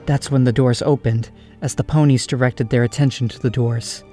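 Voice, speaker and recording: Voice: medium pitch, fairly even intonation, normal speed. A narrator reading scripted storybook prose for an audio drama, over music.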